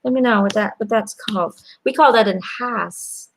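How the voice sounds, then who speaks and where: Chatty, gravelly-voiced Belgian woman reading cards. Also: mid-range voice, normal speed, a little hiss or hum. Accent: American-sounding English. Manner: chatty, hesitant, repeating names and phrases. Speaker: angel card reader. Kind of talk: live-stream card reading. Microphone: webcam.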